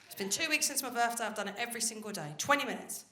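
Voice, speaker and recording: A woman's voice: fairly high pitch, racing. Self-mocking woman comic on stage. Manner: self-mocking, bursts into shouted pep talks. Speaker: stand-up comedian. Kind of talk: stand-up comedy set. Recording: echoey, very noisy live recording.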